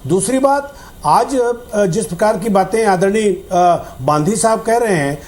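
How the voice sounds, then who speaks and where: Man arguing on a political panel, high voice, indignant, rhetorical questions. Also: lively intonation, unhurried, a little mellow. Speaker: party spokesman on a debate panel. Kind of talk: argumentative political debate.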